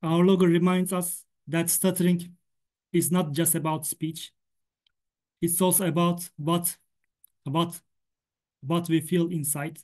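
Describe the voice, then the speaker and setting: A man's voice: fairly high pitch, flat delivery, a little slow, pauses often, a little mellow. Man with a Turkish accent who stutters. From Turkey. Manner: stutters, repeating words and sounds. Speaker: stuttering association representative. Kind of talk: prepared presentation.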